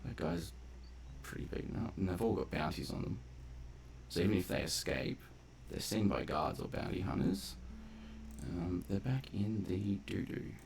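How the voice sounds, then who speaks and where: A man's voice: low voice, sing-song, moderate pace, gravelly, a little mellow, slight background noise. A man giving casual gameplay commentary, thinking aloud in a laid-back, colloquial mutter. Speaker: gameplay video host.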